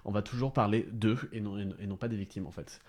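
Low-voiced man, halting radio talk. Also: fairly even intonation, a little mellow. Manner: halting, restarts often. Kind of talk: radio discussion.

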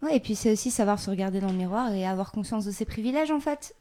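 A woman's voice: mid-range voice, lively intonation, quick pace, warm tone. A woman speaking on a radio panel in a direct, emphatic way. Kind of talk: radio discussion.

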